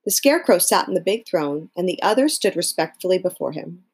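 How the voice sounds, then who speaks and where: A woman reading a children's story aloud as scripted narration, in an expressive style. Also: lowish voice, a little fast, breathy edge. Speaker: storybook reader.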